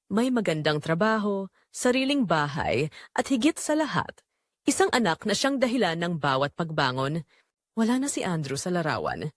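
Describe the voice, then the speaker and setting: A woman narrating a romantic drama in a measured, sentimental storytelling manner. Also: fairly low pitch, dry recording, clean studio sound.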